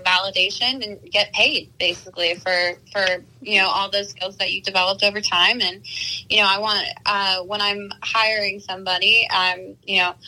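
A woman on a call-in show talks eagerly but hesitantly, restarting her sentences and leaning on "you know". Her voice is strikingly bright and sharp at an ordinary woman's pitch. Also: rough recording. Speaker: caller. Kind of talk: casual chat.

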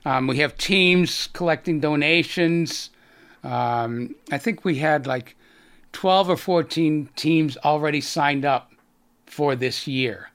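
A middle-aged man with a Boston accent speaks as a guest on a business radio show. His voice sits a little high for a man. He talks earnestly, in halting runs with plenty of fillers and restarts. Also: a bit bright, clean tone. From Boston area, USA. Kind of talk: radio interview.

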